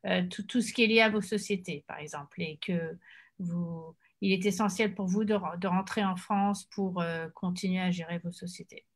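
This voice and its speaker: A woman's voice: fairly low pitch, steady tone, normal speed. A woman immigration lawyer giving earnest advice on a video call, with frequent hesitant fillers. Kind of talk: advising on a call.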